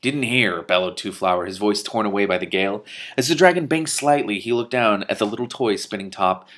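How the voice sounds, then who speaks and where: A man's voice: fairly low pitch, lively intonation, fairly quick, a bit bright. A man reading a fantasy novel aloud, breaking in with amused asides. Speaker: reader and commentator.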